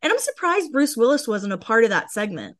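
A woman's voice: on the high side, big pitch swings, brisk. Woman co-host reading gossip items. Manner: chatty, reads blind items aloud. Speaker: podcast co-host. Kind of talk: casual celebrity gossip chat.

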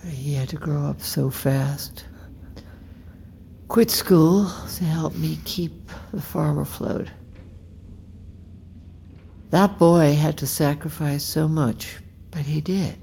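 A middle-aged farm widow speaks slowly and deliberately in a low, creaky hush. She is bitter with grief and quietly menacing, as in a naturalistic audio drama, over faint noise. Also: exaggerated voice. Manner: slow, deliberate, hushed.

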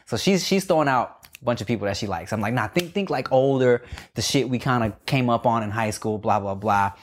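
A man's voice: medium pitch, fairly quick. A man co-hosting a podcast, telling a story in a casual chat, laughing often and acting out quotes, a bit phlegmy. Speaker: podcast co-host.

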